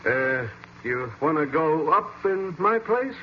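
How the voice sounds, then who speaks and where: An actor playing an easygoing, somewhat hesitant American police sergeant in an old-time radio drama. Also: male voice, on the high side, expressive, slow. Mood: casual, friendly. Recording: muffled, hissy vintage radio recording.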